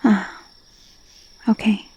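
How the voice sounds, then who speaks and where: A woman voicing a gentle, doting female vampire in an ASMR roleplay, speaking in a soft, intimate, soothing way that often drops toward a whisper. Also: drawn-out words, slight background noise. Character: gentle, coaxing female vampire mistress of a mansion.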